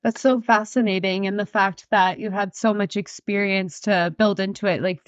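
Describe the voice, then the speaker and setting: A woman's voice: medium pitch, smooth, no room sound, dull audio. A woman hosting a film festival podcast, reading a scripted intro and then chatting casually with a guest. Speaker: podcast host.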